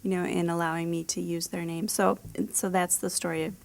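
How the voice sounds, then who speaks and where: A woman's voice: on the low side, level tone, brisk, creaky. A woman author talking as a guest in a casual interview, explaining things with the odd laugh in her speech.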